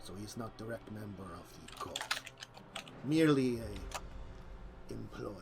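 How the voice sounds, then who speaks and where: A man's voice: on the low side, expressive, slow, vocal fry, background noise. A man running a tabletop vampire game as game master, talking casually with many fillers.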